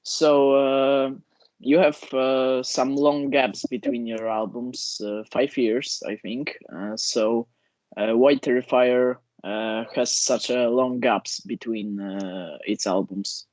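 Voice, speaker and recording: A man with a Polish accent, a non-native English speaker, interviewing a musician and asking halting questions. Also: mid-range voice, fairly even intonation, darkish tone, no echo. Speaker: interviewer, metal fan channel host.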